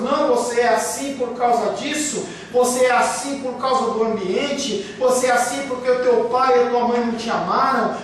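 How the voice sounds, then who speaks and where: High-voiced pastor in an echoey hall. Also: male voice, a bit bright. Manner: emphatic, rhetorical questions, acts out quoted excuses. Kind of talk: sermon. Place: church hall. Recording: very echoey room, speaker far from mic, faint hiss.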